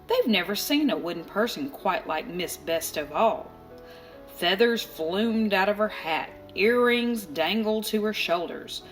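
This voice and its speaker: A woman narrating a children's storybook aloud, expressively and deliberately, with dramatic pauses. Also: medium pitch, expressive. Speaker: storybook reader. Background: music.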